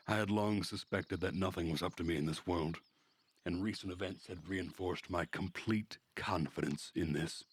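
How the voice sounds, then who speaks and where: A man narrating a visual novel aloud as a streamer, in a measured, introspective reading manner. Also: deep voice, slightly rough, no room sound. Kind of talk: narration of a story read aloud.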